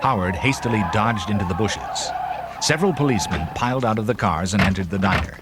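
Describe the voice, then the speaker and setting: A man's voice: on the low side, lively intonation, fairly quick, heavy background noise. A man giving dramatic scripted narration for a children's read-along story. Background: loud music and sound effects.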